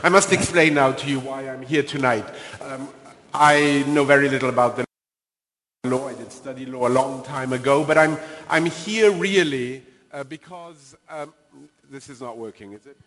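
Guy introducing speakers at a library event, long hall echo, kinda halting when he goes off script. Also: medium pitch, fairly bright tone. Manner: halting and self-deprecating when ad-libbing. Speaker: host. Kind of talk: reading a formal event introduction.

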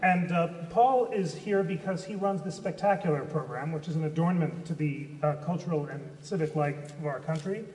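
A man's voice: a little high, moderate pace, few pauses, darkish tone. Hesitant man heard from far across a hall. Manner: hesitant, filler-heavy. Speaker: interviewer and law professor. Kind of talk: casual onstage remarks. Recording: distant, echoey hall mic with noise.

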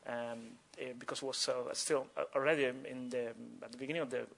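A man from Cuba with a Cuban accent and Spanish as his first language, speaking spontaneously and haltingly as a guest author at a live talk. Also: medium pitch, steady tone, gravelly, a bit bright. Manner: halting, with repeated words and broken phrases.

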